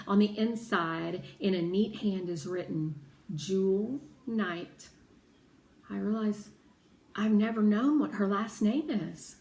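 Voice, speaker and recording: Female read-aloud narrator, unhurried and deliberate, expressive storytelling, echoey room. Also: medium pitch. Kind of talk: expressive children's audiobook narration. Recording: roomy echo, faint background noise.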